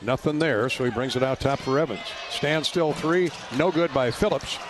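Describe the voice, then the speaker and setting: A man's voice: medium pitch, moderate pace, noisy background. American man calling live radio basketball. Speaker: radio play-by-play announcer. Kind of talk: live basketball play-by-play commentary. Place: basketball arena.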